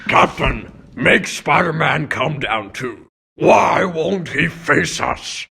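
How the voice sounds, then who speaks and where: Middle-aged comic book press tyrant, very gravelly, husky, pompous, suspicious, miserly, demanding. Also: male voice, fairly high pitch, lively intonation, slow, bright, forceful tone, heavily acted. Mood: indignant, demanding. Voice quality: raspy, gravelly. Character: blustering middle-aged male newspaper boss, suspicious and miserly. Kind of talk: comic book dub acting.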